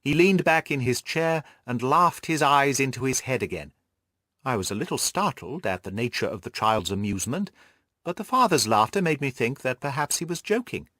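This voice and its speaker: A man reading a classic story aloud as expressive, scripted literary narration for an audiobook. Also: medium pitch, normal speed, no echo, clean studio sound.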